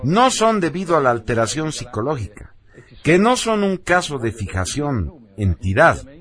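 A man's voice: fairly high pitch, sing-song, normal speed, a bit bright. A man presenting radio news, reading scripted narration.

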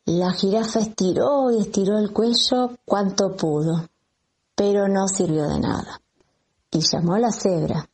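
A woman's voice: mid-range voice, a little slow, darkish tone, breathy edge. A woman with an Argentine accent, a kindergarten teacher narrating a children's story.

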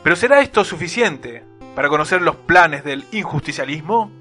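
Bright, forceful radio serial narrator played by a man. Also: fairly high pitch, lively intonation, moderate pace, faint music behind. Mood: suspenseful. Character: dramatic serial narrator, grandly suspenseful. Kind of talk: scripted radio play narration.

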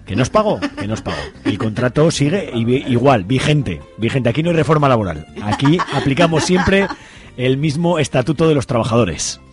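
A man's voice: medium pitch, lively intonation, normal speed, music in the background. A man with an accent from Spain hosting a radio show, chatting casually in a joking, colloquial way. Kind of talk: casual unscripted outro chat.

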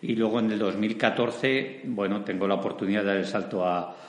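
Enthusiastic middle-aged guest in echoey hall. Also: male voice, lowish voice, brisk. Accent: Spanish, from Spain. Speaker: interview guest, customer experience executive and teacher. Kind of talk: conversational interview. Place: large event room, indoors.

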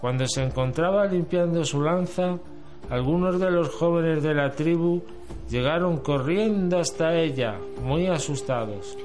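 A man's voice: fairly high pitch, unhurried, a little mellow. A man reading scripted storytelling narration as the narrator of an amateur radio drama. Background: music.

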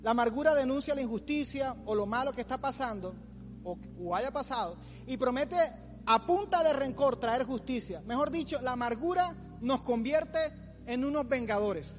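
A man's voice: very high voice, expressive, moderate pace. A man in his forties preaching an informal youth sermon as a pastor, in a colloquial, teasing manner. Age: 40s. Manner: colloquial, teasing, acts out imagined complainers. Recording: long hall reverb, music underneath.

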